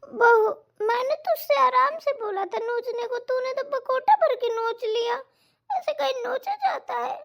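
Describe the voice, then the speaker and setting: A woman's voice: very high-pitched, a little slow, vocal fry, exaggerated voice. A woman voicing a whiny mother-in-law. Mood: whining. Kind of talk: Hindi cartoon comedy voice acting.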